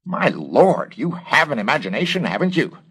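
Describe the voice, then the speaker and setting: A man's voice: medium pitch, sing-song, moderate pace, fairly bright tone, husky, voice pushed up. A man reading an audiobook, voicing an indignant, blustering moral inspector with a sneering, agitated, exclamatory delivery. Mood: scornful.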